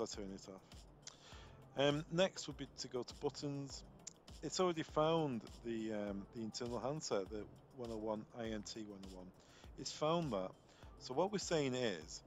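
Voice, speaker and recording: A man presenting an unscripted software tutorial step by step, explaining as he goes and often repeating words as he finds his phrasing. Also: mid-range voice. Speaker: product trainer demonstrating intercom software.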